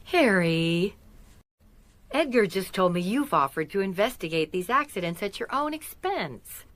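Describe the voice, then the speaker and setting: An actress playing a loyal, capable detective's assistant in a radio mystery, earnest and playfully wry. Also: female voice, mid-range voice, big pitch swings, measured pace. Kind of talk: radio mystery drama acting.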